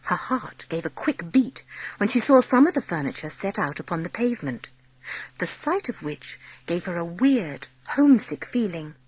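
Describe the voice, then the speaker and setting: Expressive, lively woman narrator, slightly husky. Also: on the low side, normal speed, dry recording, poor audio. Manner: expressive storytelling. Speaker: audiobook narrator. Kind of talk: dramatised children's story narration.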